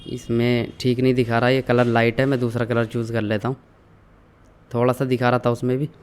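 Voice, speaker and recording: A young man calmly walking viewers through an online store setup, step by step, mixing English terms into his explanations. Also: mid-range voice, a little fast, smooth. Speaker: online tutorial instructor. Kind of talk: screen-share software walkthrough.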